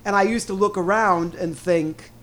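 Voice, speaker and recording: A man's voice: high-pitched, a bit bright. A man from the New York area interviewing musicians in a playful, chatty way. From New York area, USA. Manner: playful, chatty, trails into quick asides. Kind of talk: casual interview chat.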